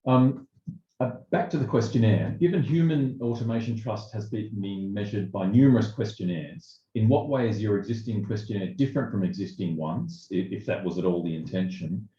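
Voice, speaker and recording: A man's voice: on the low side, fairly quick, mellow. A man moderating a webinar, putting questions informally in a tentative, circling way. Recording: dull, roomy webinar call audio.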